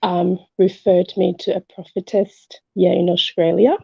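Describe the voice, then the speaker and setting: A woman's voice: fairly low pitch, level tone, normal speed, vocal fry, a little mellow, husky, dry recording. A woman calling in to a talk show, telling a personal story in a casual, conversational way and re-enacting dialogue. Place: remote call into an online show. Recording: muffled, dry call audio.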